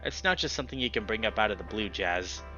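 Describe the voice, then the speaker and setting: A man plays an easygoing young animal guy from a visual novel, kind but evasive. His talk is casual and offhand in a bright voice. Music sits behind the slightly muffled sound. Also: lowish voice, animated, quick pace. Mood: guarded. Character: young male anthro animal, laid-back, kind, evasive friend. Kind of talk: visual novel character reading.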